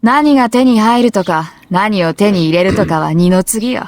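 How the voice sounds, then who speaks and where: A woman playing a bold, competitive, tomboyish high school girl in an anime visual novel, with a brash, casual, confident manner. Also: fast talker, darkish tone, no echo, close to an everyday voice.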